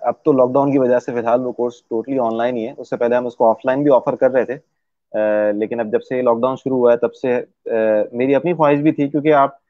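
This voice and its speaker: A young man, explaining his English course in a relaxed interview, with many "uh" pauses. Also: mid-range voice, no room sound. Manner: explains patiently, with frequent "uh" pauses and fillers. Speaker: English teacher, interview guest. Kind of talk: casual explanatory interview talk. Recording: dry, tinny phone-like line.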